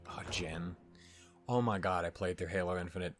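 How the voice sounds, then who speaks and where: A man's voice: sits low, normal speed, soft background music. A man giving casual, sweary, slangy reaction commentary with a sardonic edge. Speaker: reaction video host.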